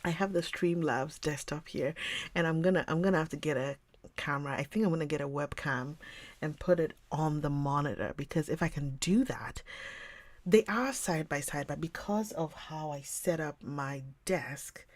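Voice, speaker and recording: A woman hosting a livestream, chatting casually with viewers in a rambling way and often dropping into a soft whisper. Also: low-pitched, moderate pace, no echo. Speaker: livestream host reading books. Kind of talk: casual chat with live viewers.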